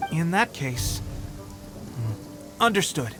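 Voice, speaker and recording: An actor playing an eager, boastful young resistance soldier in a video game, with a self-assured, expressive delivery. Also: male voice, a little high, extremely slow, full character voice. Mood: eager, challenging. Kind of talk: acted video game dialogue. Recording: music bed behind the voice.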